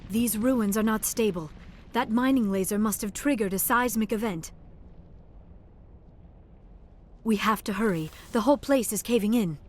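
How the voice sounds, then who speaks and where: A woman's voice: medium pitch, a little fast, natural voice. A woman voicing an earnest, anxious young alien scientist in a video game, polite and precisely worded. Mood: worried, hurried.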